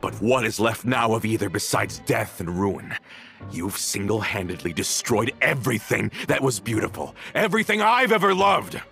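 A man's voice: on the low side, lively intonation, moderate pace, vocal fry. A man playing a bitter, vengeful villain in a video game, dramatic and accusatory, with music behind him. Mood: furious accusing. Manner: dramatic, accusatory, swinging from brooding to ranting. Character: bitter, vengeful villain, a former member of a crime family. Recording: loud music under the voice.

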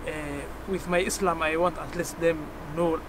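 A man's voice: fairly high pitch, little pitch movement, moderate pace. Talkative young man from Kenya outdoors. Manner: talkative, self-assured, with many fillers. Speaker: interview guest. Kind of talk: casual outdoor conversation. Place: outdoors by a roadside. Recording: street noise in an outdoor video clip.

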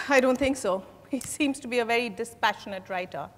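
A woman's voice: on the high side, normal speed, a bit bright, natural voice. A young woman on stage playing an ambitious, defensive suspect who answers questions in a guarded way. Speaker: stage actor. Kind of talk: dramatized play reading on stage. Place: large hotel ballroom stage. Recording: echoey hall from far off.

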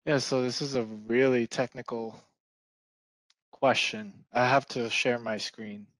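A young man from the Philippines whose first language is Tagalog, chatting casually on a video call through a headset, soft-spoken and trailing off at the ends of sentences. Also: mid-range voice, fairly even intonation, a little slow, dry recording, low-quality recording. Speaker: support engineer guest.

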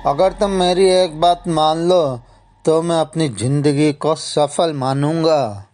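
Man acting a slow-speaking, fatherly old elder. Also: fairly high pitch, darkish tone. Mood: earnest, fatherly. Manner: measured, fatherly. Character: gentle, advising old village elder man. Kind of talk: Hindi animated story acting.

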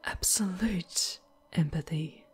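Woman playing a slow, breathy demon guide. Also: on the low side, whispered, exaggerated voice. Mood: moved, tender. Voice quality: soft, breathy. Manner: formal, measured, theatrical. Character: ancient female demon guide, formal, caring, solemn. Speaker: voice performer in audio roleplay. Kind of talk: ASMR fantasy roleplay monologue.

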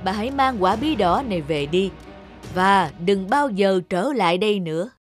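Imperious storybook king , female performer, loud and forceful, commanding delivery, music behind. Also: medium pitch, animated, moderate pace, natural voice. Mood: indignant, commanding. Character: stern, commanding middle-aged king in a cartoon fairy tale. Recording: music under the voice.

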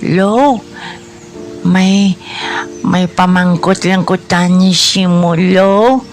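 A meek, sad little boy acted by a man in a radio drama, speaking slowly and plaintively with a Hiligaynon accent. Music plays clearly behind the hesitant voice. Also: high voice, steady tone. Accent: Hiligaynon (Western Visayas). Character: sad, meek young boy longing for father's love.

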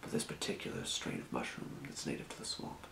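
A man voicing a helpful fantasy potion shopkeeper in an ASMR role play, murmuring in an unhurried, breathy whisper. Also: roomy sound, noisy background, close to an everyday voice. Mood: absorbed muttering. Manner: murmuring, unhurried, muttering to himself. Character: helpful old-world fantasy potion shopkeeper, quietly businesslike. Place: small room, indoors at a desk.

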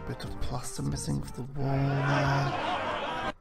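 Slow-talking, gravelly male gamer. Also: medium pitch, wildly swooping pitch, distant mic, over loud music. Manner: jokey, mimics the game's lines. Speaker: video game streamer, let's play host. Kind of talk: casual gameplay commentary.

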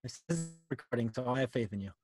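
A man's voice: medium pitch, lively intonation, fast, darkish tone, soft background music. A man in his fifties hosting an informal video-call how-to session, casual and conversational, thinking aloud as he goes. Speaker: workshop host. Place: small cluttered indoor room on a video call.

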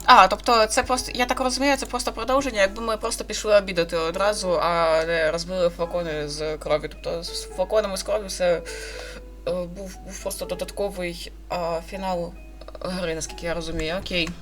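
A woman hosting a visual novel playthrough, commenting casually and haltingly as she goes. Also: fairly low pitch, fairly even intonation, soft background music. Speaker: gaming video host. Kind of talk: visual novel let's play, reading dialogue aloud and commenting.

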